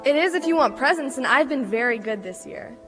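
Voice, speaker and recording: A woman's voice: high-pitched, lively intonation, fairly quick. An actress playing an earnest, dramatic woman in a radio play sponsor ad. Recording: loud music over the voice.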